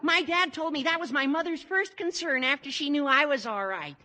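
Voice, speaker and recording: A performer playing an eager, bouncy teenage schoolboy in a 1950s radio sitcom, chattering earnestly and excitably. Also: sits high, moderate pace, noisy background, lightly acted.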